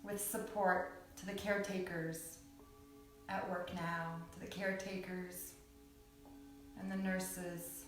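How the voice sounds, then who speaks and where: A woman guiding a yoga nidra meditation in a soothing, unhurried way, with long pauses between short phrases. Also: on the low side, flat delivery. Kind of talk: guided meditation. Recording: echoey, distant mic with background music.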